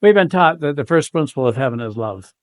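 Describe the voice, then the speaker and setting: A man's voice: mid-range voice, expressive, fast, no echo, studio quality. A man speaking earnestly on a devotional panel, quoting scripture with growing emphasis. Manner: earnest, emphatic, quotes scripture with rising fervor. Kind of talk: informal devotional talk.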